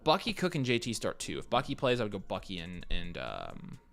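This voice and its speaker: Low-voiced American man hosting a livestream. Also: huge pitch swings, vocal fry, a bit bright, soft background music. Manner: conversational, thinking aloud, reads questions then gives quick picks. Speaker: fantasy football livestream host. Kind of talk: casual live Q&A, answering viewer questions.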